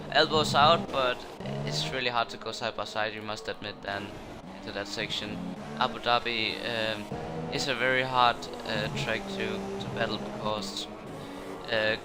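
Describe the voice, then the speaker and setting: A man commentating a racing game league, his voice jumping up when incidents happen. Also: mid-range voice. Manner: excitable, rises sharply on incidents. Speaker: race commentator. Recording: noisy game audio behind. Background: racing game engine noise.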